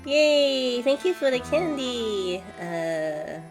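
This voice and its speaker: Casting: female game streamer, casual and hesitant, unhurried pace, music playing behind the voice. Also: a little high, very animated, gravelly. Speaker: live streamer.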